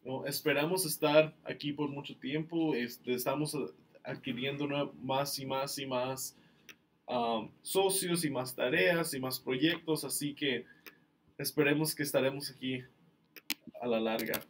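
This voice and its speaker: A man from Mexico hosting a live-streamed question-and-answer session, explaining things in a relaxed way with many fillers. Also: medium pitch, unhurried. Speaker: company staff host answering questions.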